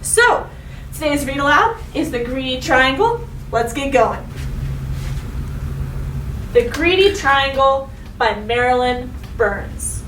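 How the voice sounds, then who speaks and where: A woman schoolteacher giving a read-aloud lesson to young pupils, speaking instructively and directly, with expressive emphasis. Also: high-pitched, takes it slowly, long pauses. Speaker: elementary school teacher. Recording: roomy, distant mic with faint noise.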